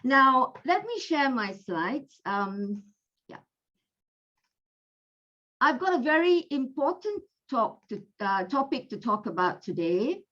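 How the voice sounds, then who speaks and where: Slow, lively Malaysian woman lecturer. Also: sits high. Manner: unhurried, chatty, with fillers. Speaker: guest lecturer, gynecologist. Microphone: video call. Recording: dull lo-fi audio.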